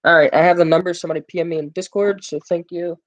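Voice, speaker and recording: A man's voice: on the high side, steady tone, racing, a bit bright. Rambling male live-stream gamer. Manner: rambling, full of fillers, jokes with viewers. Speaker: gaming livestream host. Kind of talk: casual live-stream chat.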